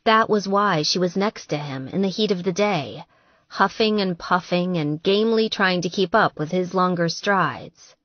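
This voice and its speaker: A woman's voice: on the low side, normal speed, no echo, highs cut off. A woman reading a scripted fiction story as an audiobook narrator.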